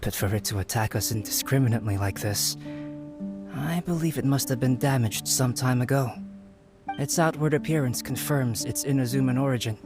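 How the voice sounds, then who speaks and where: An actor playing a composed, erudite young scholar in a video game, measured, articulate and formal. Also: male voice, medium pitch, music in the background, close to an everyday voice. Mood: composed, analytical. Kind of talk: scripted video game voice acting.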